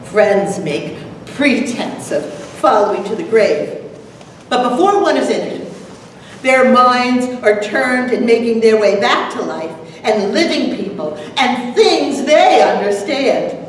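A woman's voice: a little high, expressive, slow pace. A middle-aged woman giving a dramatic poetry reading of a grieving married couple's quarrel. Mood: anguished. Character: grieving, anguished wife and her pleading, forceful husband in a poem. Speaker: dramatic reader at a poetry event. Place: large meeting room, at lectern, far camera. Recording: echoey hall from far off, faint noise.

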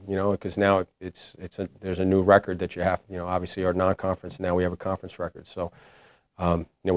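Fast, flat-voiced coach at a press conference. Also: male voice, low voice, no room sound. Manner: halting, many restarts and fillers. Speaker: head basketball coach. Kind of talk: postgame press conference answers. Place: indoor press room before a logo backdrop. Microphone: lapel mic.

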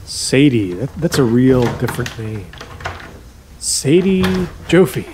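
A nervous, awkward man who runs a guesthouse, played by a male actor, speaks in a medium-pitched voice. He stammers and apologises in halting, natural audio-drama style, over noticeable background noise. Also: sing-song. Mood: eager, awkward.

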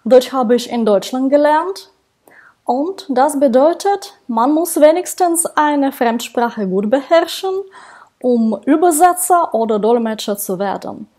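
Slow careful woman talking to camera, non-native german, thirties, lots of little pauses and ums. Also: high voice, expressive. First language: not German. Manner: slow, careful speech with frequent hesitations and soft laughs. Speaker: translator and interpreter. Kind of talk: solo talk to camera about her career. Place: indoors, small room with dark backdrop. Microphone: wired earphone mic.

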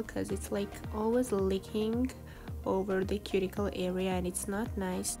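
A woman giving a casual, chatty tutorial voice-over in a self-deprecating way, like a beauty vlogger. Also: mid-range voice. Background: background music.

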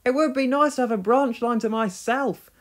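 A man voicing a vain, excitable young steam engine in a storybook reading. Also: extremely high pitch, moderate pace, pitched above the performer's own voice. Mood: wistful. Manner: indignant, boastful, wistful by turns.

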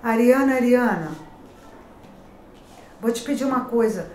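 A woman's voice: fairly high pitch, measured pace, darkish tone, faint music behind. A middle-aged Brazilian woman with a Rio accent reading daily horoscopes to camera, warning and advising in a chatty manner, calling out each sign. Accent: Rio de Janeiro Portuguese. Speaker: tarot reader and horoscope host. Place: pink-walled room at a table with cards. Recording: echoey room, faint noise.

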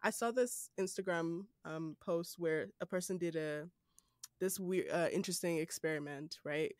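A podcast host with a Nigerian accent, chatting casually, laughing often and filling pauses with "like" and "um". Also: medium pitch, no room sound, clean studio sound. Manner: animated, laughs often, many fillers. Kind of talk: casual interview chat.

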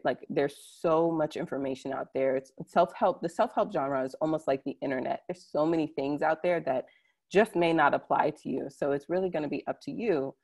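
Female webinar presenter, low register, conversational delivery that speaks directly to the audience. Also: creaky, breathy edge. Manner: conversational, directly addressing listeners. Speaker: conference presenter. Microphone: computer mic on a video call.

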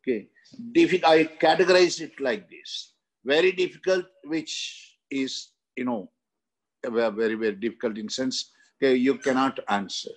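An older man with an Indian accent and a husky voice of medium pitch. He teaches like an exam coach, going through questions in short halting phrases and often repeating himself. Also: rough recording. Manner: halting, repetitive, with frequent "okay" tags. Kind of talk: lecture explaining exam questions. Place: indoor room, seated.

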